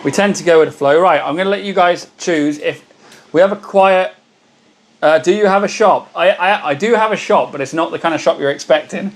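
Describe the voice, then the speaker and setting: Host: hoarse man, slightly high voice, chatty and friendly with viewers, casual live-stream energy. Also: fairly quick. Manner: chatty, greets viewers by name. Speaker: livestream host.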